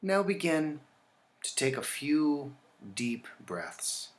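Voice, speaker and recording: A man teaching yoga nidra, leading a guided relaxation in a calm, instructional manner with deliberate pauses. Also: fairly high pitch.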